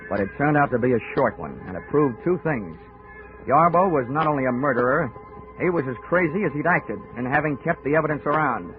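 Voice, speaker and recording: A man's voice: mid-range voice, expressive, fairly quick, close to an everyday voice. Guy doing a tough wisecracking insurance detective, sardonic, old 1940s radio noir, tinny with music under it. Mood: wry narration. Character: wisecracking, hard-boiled male insurance investigator.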